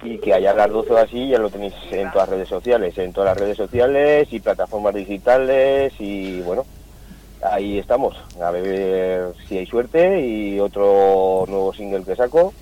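A man's voice: lowish voice, normal speed, mellow, muffled recording. A man from Zaragoza, a singer guest in his forties, chatting casually and rambling in a radio interview, with a slight southern Spanish lilt. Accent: Andalusian-tinged singing drawl with Aragonese speech. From Zaragoza, Spain.

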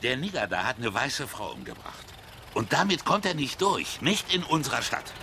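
An actor playing a brutish small-town bully in a German radio drama, raspy, coarse, taunting and threatening. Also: male voice, medium pitch, very animated, moderate pace, pushed and bright, slight background noise, exaggerated voice. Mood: aggressive.

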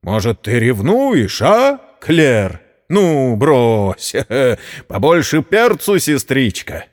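A teasing, affectionate brother from an audio story, played by a male narrator. He is familiar and needling, his mid-pitched voice moving up and down freely in a long reverb. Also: wildly swooping pitch, drawn-out words, heavily acted.

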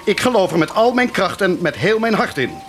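An actor playing a devout, anguished parish priest in an earnest, pleading radio drama manner. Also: male voice, high voice, big pitch swings, brisk, a bit bright, faint music behind, heavily acted. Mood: fervent, anguished. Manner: earnest, pleading, fervent declamation. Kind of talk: theatrical radio drama acting.

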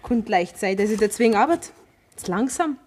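A pert young Bavarian farm maid, played by a woman in a radio drama. She banters in a middling-pitched voice, with plain rural speech. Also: animated, moderate pace, darkish tone, slight background noise, natural voice. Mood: teasing, pert. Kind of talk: German radio drama acting.

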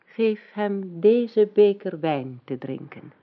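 An actress playing an evil sorceress who poses as a soothing friend, with a husky, insinuating delivery in a radio drama. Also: female voice, mid-range voice, lively intonation, slow pace. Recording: dull lo-fi old radio recording.

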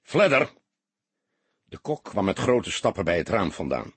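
A man's voice: deep voice, big pitch swings, moderate pace, husky, no echo. A man reading a detective novel as a dramatic audiobook narrator.

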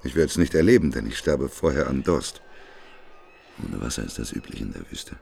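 A despairing prophet of doom in a literary radio play. His voice is extremely deep and gravelly, swinging widely from hushed bitterness to thundering contempt. He declaims sardonically. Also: sing-song, moderate pace, slight background noise, exaggerated voice. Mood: sardonic, despairing. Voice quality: gravelly, husky.

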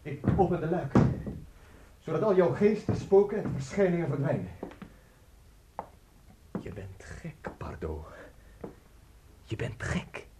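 A man playing a wary, skeptical gentleman questioning his host in a radio drama, terse and hushed. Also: fairly high pitch, very animated, takes it slowly, pauses often. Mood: weary, dismissive. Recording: faint noise and clicks.

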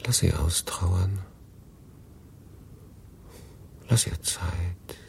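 A man's voice: low-pitched, slow, slight background noise, heavily acted. An actor playing a melancholy, detached male refugee who narrates his story in a pensive, understated radio drama style. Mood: quietly longing. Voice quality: husky.

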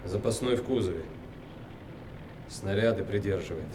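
A man plays a young corporal at the wheel in a radio drama. His low voice echoes beside vehicle noise, and his replies are terse, military and under strain. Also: normal speed, natural voice. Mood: dutiful, strained. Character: strained young soldier, a corporal driving munitions in danger. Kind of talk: Soviet radio drama acting.

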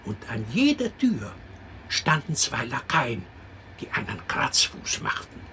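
Wry radio-drama storyteller, unhurried and very expressive, clear background noise. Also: male voice, medium pitch, full character voice. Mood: wry storytelling. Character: wry, unhurried male teller of an absurd fairy tale. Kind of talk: fairy-tale narration in a radio drama.

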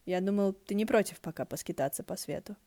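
A woman voicing a dry, secretive male earth deity in a fan-fiction audiobook, terse and deadpan. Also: mid-range voice, quick pace, dry recording, natural voice. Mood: deadpan, laconic. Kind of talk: audiobook character voice.

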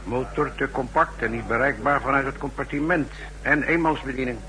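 A man plays a dry, confident general in a sixties radio play. His mid-pitched voice is very bright and forceful, with clipped, dismissive, matter-of-fact delivery. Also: steady tone, brisk, natural voice, rough recording. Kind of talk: 1960s Dutch radio drama acting.

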